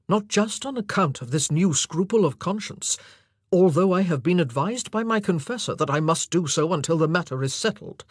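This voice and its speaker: A narrator voicing an anguished, impassioned and forceful male monarch in an audiobook drama. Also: mid-range voice, husky, no room sound, clean studio sound. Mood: troubled.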